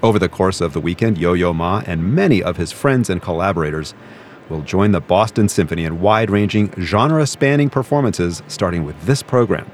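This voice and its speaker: Deep-voiced male concert radio host. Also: slight background noise. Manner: measured, even announcer delivery. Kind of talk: scripted broadcast narration. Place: concert venue broadcast booth.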